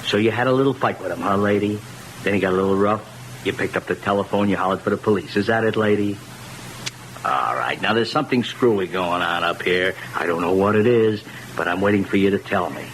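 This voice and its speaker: A man's voice: low-pitched, brisk, fairly bright tone, slight background noise, rough recording. A man playing a tough, skeptical police sergeant in an old-time radio drama, brusque and interrogating. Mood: brusque, suspicious. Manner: brusque, interrogating, hard-boiled.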